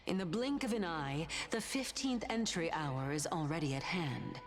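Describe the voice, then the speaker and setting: An actress playing an imperious, otherworldly judge of souls in a video game, speaking in a stately, formal, taunting way. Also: female voice, sits low, expressive, moderate pace, music in the background. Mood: solemn, commanding. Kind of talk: scripted video game voice acting.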